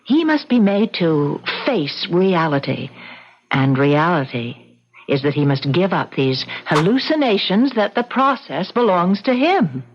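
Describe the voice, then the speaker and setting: An actress playing a gentle, earnest, trusting wife in an old-time radio mystery, speaking in a soothing, deliberate way. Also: female voice, fairly low pitch, very animated, full character voice. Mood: gently insistent. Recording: dull, band-limited old radio recording.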